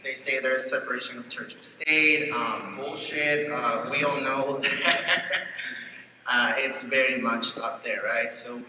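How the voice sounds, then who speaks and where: A man's voice: mid-range voice, fairly even intonation, measured pace, recorded from a distance. A man from Peru speaking bluntly and critically as a panelist, recorded from afar in a convention hall. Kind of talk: panel discussion. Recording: echoey hall, faint hum, from afar.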